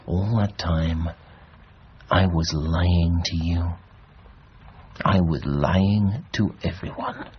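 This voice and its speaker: Dull radio drama recording, disillusioned middle-aged man, very low voice, halting, bitter, faint hiss. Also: lively intonation, exaggerated voice. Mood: confessional. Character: weary, disillusioned former adventurer, bitter and self-blaming.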